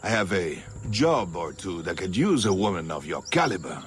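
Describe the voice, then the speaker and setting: An actor playing a roguish, flirtatious smuggler with playful theatrical charm in a video game cutscene. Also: male voice, low-pitched, big pitch swings. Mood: sly, flirtatious. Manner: playful, mock-wounded, drawling, halting.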